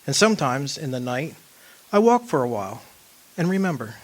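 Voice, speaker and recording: A man playing a wistful old soul in a radio drama, remembering his lost love in measured, poetic, solemn narration. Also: mid-range voice, big pitch swings, lightly acted. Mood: quiet, reflective. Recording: music behind the voice.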